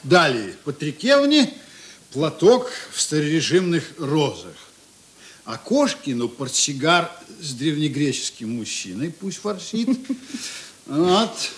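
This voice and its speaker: A breezy, generous writer friend in a radio play. His voice is on the high side and swoops with animation. He is chatty and bustling, bossing people about jovially. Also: big pitch swings, takes it slowly, slight background noise. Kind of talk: Russian radio play acting.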